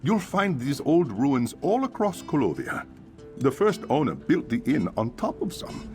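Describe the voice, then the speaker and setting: An actor playing a chatty, grumbling but curious innkeeper in a fantasy video game, expressive and musing aloud. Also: male voice, mid-range voice, a little slow, soft background music. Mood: breezy. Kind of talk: video game character acting.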